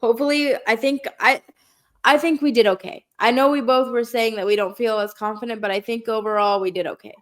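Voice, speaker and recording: A woman's voice: a little high, steady tone, a little fast. A woman hosting a casual film podcast, talking in an easy chat with many fillers, her voice nasal from a cold. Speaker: podcast host.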